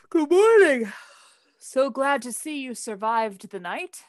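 A woman's voice: high-pitched, very animated, slow pace, no echo, heavily acted. A woman playing a playful, sarcastic grown son in a radio play. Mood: teasing. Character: Herbert, teasing grown son in a family.

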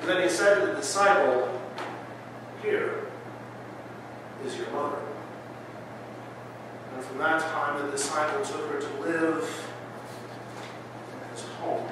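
A middle-aged man, a pastor preaching a solemn sermon with deliberate, dramatic pauses. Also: fairly high pitch, fairly even intonation. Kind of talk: Good Friday sermon with scripture reading. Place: small church, indoors, before seated congregation. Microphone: distant camera microphone. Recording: echoey church hall from far off, faint noise.

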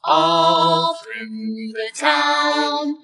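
Woman, children's sing-along song, very high pitch, slight gravelly edge, distant mic, loud backing music. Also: very slow. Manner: simple sing-along delivery with repeated words. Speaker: singer. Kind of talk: children's nursery song singing.

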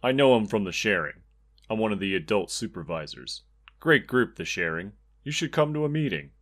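Guy doing a folksy cop who's fake friendly and kinda threatening, lowish voice, audiobook villain. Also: very animated, brisk, fairly bright tone. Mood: falsely friendly. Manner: probing, folksy, intimidating. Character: policeman, folksy, falsely friendly, menacing adult villain. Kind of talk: audiobook character dialogue.

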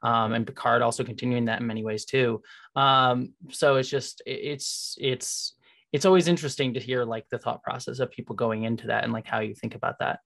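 An interview host talking casually and enthusiastically as a fan, in a rambling, halting way on a video call. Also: mid-range voice, fairly even intonation, creaky, fairly bright tone. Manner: rambling, halting, filler-heavy. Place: indoors, remote video call.

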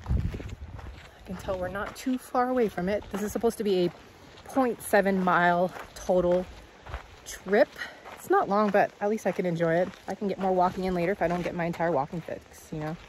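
A woman's voice: lowish voice, fairly even intonation. A woman, chatting casually to her camera while hiking outdoors, holding it at arm's length. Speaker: vlogger. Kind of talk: casual outdoor vlog chat. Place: outdoors on a rocky creek trail. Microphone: handheld camera at arm's length. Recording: noisy outdoor recording with wind and rushing water.

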